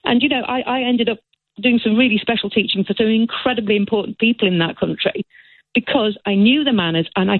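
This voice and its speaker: A woman's voice: mid-range voice, no echo. A woman caller speaking in an emphatic, passionate way. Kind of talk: phone-in call. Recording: tinny phone line.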